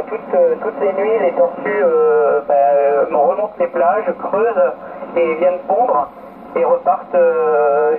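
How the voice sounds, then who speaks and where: A man on an amateur radio expedition, explaining life on a remote island in a casual, hesitant way with many fillers and restarted words. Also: fairly high pitch. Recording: noisy, phone-like radio link.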